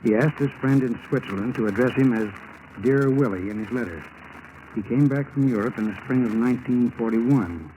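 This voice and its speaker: A man's voice: mid-range voice, normal speed, warm tone, lightly acted. An American man playing a sceptical, wry FBI agent in 1940s radio drama style, speaking in a dry, matter-of-fact way. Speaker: actor in a radio crime drama. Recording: noisy 1940s radio broadcast recording.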